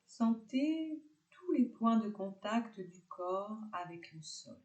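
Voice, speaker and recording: A woman teaching yoga nidra, guiding a relaxation meditation calmly in short, separated phrases. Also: medium pitch, slow, reverberant.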